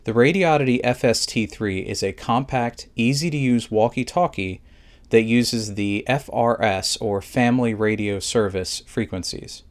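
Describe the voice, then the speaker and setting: A man's voice: medium pitch, unhurried. A man narrating a scripted product review in a plain, methodical, instructional way.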